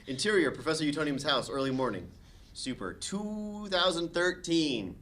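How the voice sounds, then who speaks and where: A man's voice: fairly high pitch, expressive, moderate pace, a crowd in the background. A man reading scene directions as narrator at a comedic table read.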